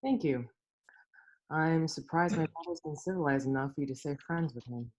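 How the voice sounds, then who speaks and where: A woman playing a dry, curt gallery owner who is coolly cutting, with a husky voice, in a comedic script read. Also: low-pitched, moderate pace, a little mellow, muffled recording.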